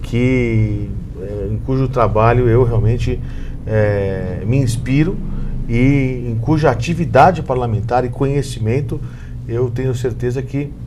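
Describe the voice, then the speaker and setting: A man's voice: mid-range voice, a little slow. A man, a guest on a talk show, speaking in a formal, flowery, ceremonious way. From Brazil. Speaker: guest, fellow broadcaster. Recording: audible background noise.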